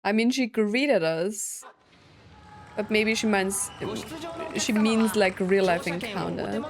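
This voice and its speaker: A woman's voice: medium pitch, slow pace, a little hiss or hum. A woman giving casual, slangy reaction commentary as she plays a game, thinking aloud. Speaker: gaming streamer.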